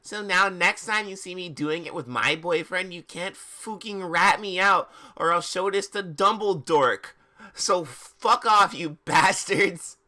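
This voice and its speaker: A man's voice: high voice, measured pace, sharp tone, heavily acted. A man voicing a melodramatic teenage goth girl, sarcastic and indignant, in a dramatic story reading. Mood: snarky, defiant.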